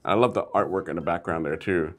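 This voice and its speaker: A man hosting a casual toy review vlog, chatty and easygoing, with sudden bursts of excitement. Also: deep voice, fast talker.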